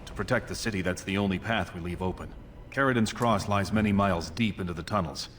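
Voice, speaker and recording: Low-voiced scheming dwarf prince. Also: male voice, a bit bright, a little hiss or hum. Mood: smooth, commanding. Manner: formal, measured, persuasive. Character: scheming male dwarf prince, courtly and calculating. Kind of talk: video game dialogue acting.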